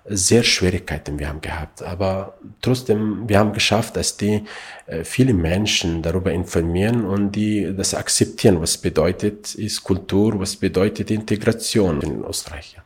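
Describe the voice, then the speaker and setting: A man from Afghanistan, answering interview questions in halting, broken German with many fillers. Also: low-pitched, slightly rough. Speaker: refugee youth helper.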